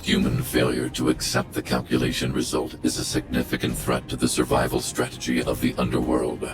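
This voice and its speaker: Super deep giant robot guardian from a game, cold n formal, all calculations no feeling, music behind. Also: male voice, monotone, brisk. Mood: cold, analytical. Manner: formal, clinical, emotionless machine-like delivery. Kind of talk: video game voice acting.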